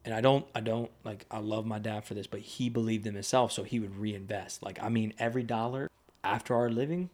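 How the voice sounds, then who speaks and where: Young guy on a podcast, kinda low voice, rambly and loose, lots of um and like. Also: fairly even intonation, a little fast, darkish tone. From United States. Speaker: podcast guest. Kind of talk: casual conversation. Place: podcast studio.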